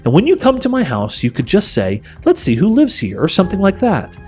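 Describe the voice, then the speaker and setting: A regal witch queen from a storybook, acted out by a man, coaxing and sly. The voice lifts high and swoops freely as it commands and persuades, with music behind it. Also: huge pitch swings, fairly quick, darkish tone. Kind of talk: audiobook character voice.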